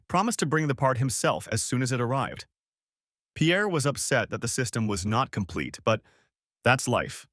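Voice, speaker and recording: A man narrating a first-person story for an audiobook, raising his pitch for quoted women. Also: fairly bright tone, dry recording, studio quality.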